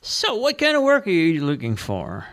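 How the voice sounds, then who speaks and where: Guy doing a puzzled shrink, high and quizzical, swoopy. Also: huge pitch swings, moderate pace, a bit bright, exaggerated voice. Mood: incredulous. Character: bemused male psychiatrist. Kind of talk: amateur play read aloud.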